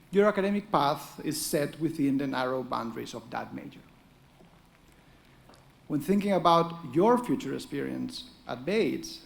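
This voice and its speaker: A man's voice: fairly high pitch, creaky. A middle-aged man with a Spanish accent, an economics professor delivering an earnest, encouraging ceremonial speech with touches of dry humor at a podium microphone. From Spain.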